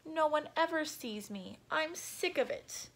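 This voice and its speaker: A woman's voice: high-pitched, animated, measured pace, pitched above the performer's own voice, poor audio. A woman voicing a small, eager, showy chameleon from a storybook in an exclamatory, singsong way. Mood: fed up.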